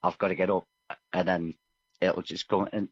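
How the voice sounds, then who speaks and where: Low-voiced man on a slightly dull link. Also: flat delivery, normal speed, slightly rough. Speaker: musician guest, singer-songwriter. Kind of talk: casual interview chat.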